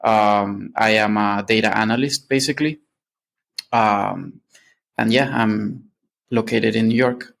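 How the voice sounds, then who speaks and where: A man's voice: lowish voice, fairly even intonation, a little slow, vocal fry, fairly bright tone. A man, a Spanish speaker, answering casually and reflectively as a podcast guest. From Venezuela. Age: late 20s.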